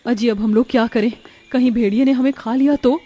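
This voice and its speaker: A woman's voice: a little high, fairly even intonation, brisk, mellow, natural voice. A woman voicing an earnest, hopeful young wife in a moral-story cartoon. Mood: anxious.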